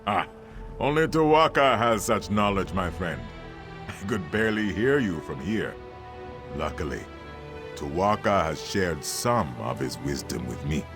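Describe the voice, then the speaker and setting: A man playing a wise, devout mentor in a fantasy game, speaking in an expressive, reverent and measured way. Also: low-pitched, music in the background. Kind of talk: scripted fantasy game acting.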